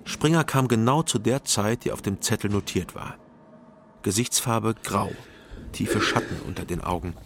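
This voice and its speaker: A man's voice: fairly low pitch, expressive, normal speed, noisy background. An actor playing a detective in his thirties, narrating a radio crime drama in a dry, reflective way. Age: 30s. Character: thirty-year-old sober, lonely private detective narrating. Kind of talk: scripted first-person radio drama narration. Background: music.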